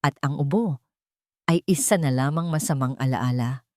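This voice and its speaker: A woman reading a dramatic love story as a narrator, in emotive, measured phrases. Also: rumbling low, a little mellow, dry recording, clean studio sound.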